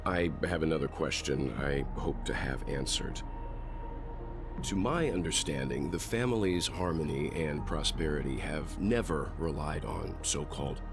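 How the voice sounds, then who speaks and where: Very deep-voiced, grave gentleman scholar. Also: full character voice. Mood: polite, probing. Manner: deliberate, erudite, grave. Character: astute, grave gentleman scholar, calm and probing. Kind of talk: scripted video game voice acting, storytelling. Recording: clear music under the voice.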